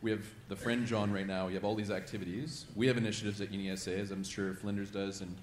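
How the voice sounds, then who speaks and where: Canadian man, low voice, quick chatty audience question, faint room noise. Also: little pitch movement, reverberant. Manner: chatty, self-deprecating, with fillers. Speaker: audience member asking a question. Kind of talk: casual spoken question at a panel.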